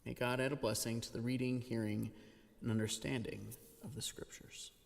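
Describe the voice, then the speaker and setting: A man giving an expressive, dramatic scripture reading as a reader at a church service. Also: medium pitch, moderate pace, creaky. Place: church sanctuary. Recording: reverberant church hall.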